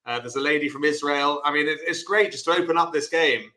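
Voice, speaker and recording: A man teaching an online bridge lesson, chatty and encouraging, explaining step by step in a loud voice. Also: on the high side, quick pace, echoey room.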